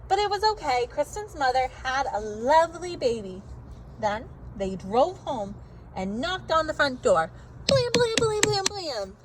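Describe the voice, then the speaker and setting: Woman, high-pitched, very lively children's read-aloud, exaggerated words and sound effects, slight background noise. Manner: pauses to ask listeners questions. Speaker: teacher reading aloud to children. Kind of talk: animated children's picture-book read-aloud.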